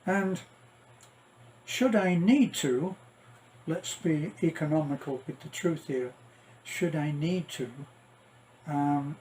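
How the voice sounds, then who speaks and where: A man's voice: fairly high pitch, slow, long pauses, darkish tone, slight background noise, poor audio. An older man chatting casually to viewers as a vlogger, wry and self-deprecating, drifting off into asides. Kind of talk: casual rambling vlog chat to viewers.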